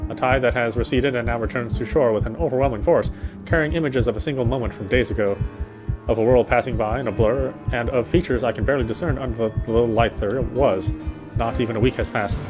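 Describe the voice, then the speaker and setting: A man streaming a game, reading its text aloud as measured, dramatic narration with occasional stumbles. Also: medium pitch, rough recording. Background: game music.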